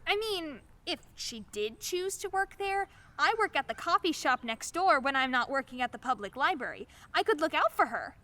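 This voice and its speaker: Actress as a high, gushing, awkward young neighbor. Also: female voice, sing-song, normal speed, hardly any pauses, pushed and bright, full character voice. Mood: helpful, eager. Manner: gushing, apologetic, hesitant. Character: chatty, awkward, eager-to-please young woman neighbor. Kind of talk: radio play acting.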